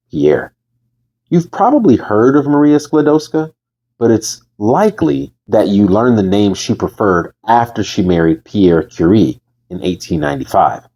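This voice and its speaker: Man, low-pitched, very animated intonation, book narration, casual and chatty delivery. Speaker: host reading a book aloud. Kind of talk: casual audiobook-style reading with informal asides. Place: bedroom. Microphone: new microphone.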